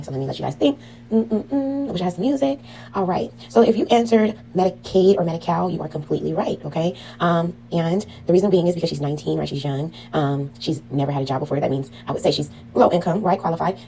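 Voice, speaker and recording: A woman lectures through an online billing class in a quick, chatty way, checking in with her listeners as she goes. Her voice sits low, with a gravelly fry and a mellow tone. A faint hiss runs underneath the recording. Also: poor audio. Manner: chatty, frequent "um" and "okay?" check-ins. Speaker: medical billing instructor.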